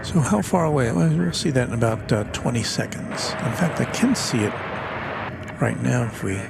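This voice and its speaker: A man's voice: mid-range voice, expressive, moderate pace, creaky. A man hosting a live airport stream from outdoors, chatting casually and reading out viewer comments. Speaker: livestream host reading chat. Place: outdoors near an airport runway. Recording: loud outdoor airport noise. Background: aircraft and traffic noise.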